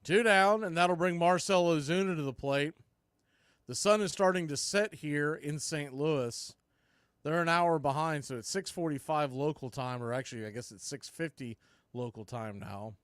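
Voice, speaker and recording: A man doing live baseball play-by-play for a fan stream, mixing clipped pitch calls with casual, partisan asides. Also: moderate pace, smooth, dry recording, studio quality.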